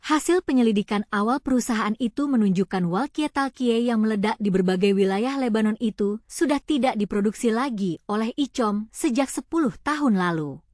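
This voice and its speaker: A woman's voice: on the high side, expressive, fairly quick, a little mellow, no room sound, clean studio sound. A woman reading scripted news narration.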